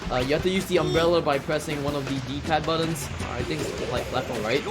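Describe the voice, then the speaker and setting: A man with a fairly high voice commentates casually on his own gameplay. He talks in an excitable, off-the-cuff gamer way. Video game music plays clearly behind him. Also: quick pace. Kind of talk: live gaming commentary, casual.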